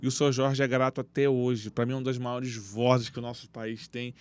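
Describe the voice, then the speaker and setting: A man who coaches youth football, speaking as a podcast guest and telling stories in animated street slang. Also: mid-range voice, moderate pace, smooth. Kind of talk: casual interview talk. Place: podcast studio.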